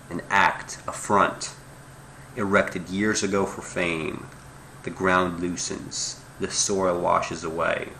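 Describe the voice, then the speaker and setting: Male poetry reader, gravelly and intense-edged, deliberate emphatic delivery, slightly noisy recording. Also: sits low, bright, forceful tone. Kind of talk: dramatic poetry recitation.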